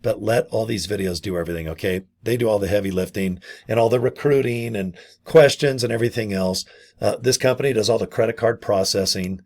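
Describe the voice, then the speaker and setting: A middle-aged man with a hoarse, gravelly voice from a vocal cord injury, casually pitching his online business and often calling his viewers "guys". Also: a little fast, a little mellow. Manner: chatty, repeats "guys" and "okay". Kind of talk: casual sales pitch to camera.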